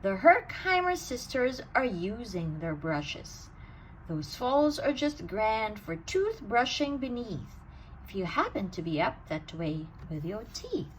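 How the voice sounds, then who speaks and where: A woman reading a rhyming bedtime storybook aloud in an expressive, sing-song way. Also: medium pitch, slow pace, slight background noise, poor audio. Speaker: storybook reader.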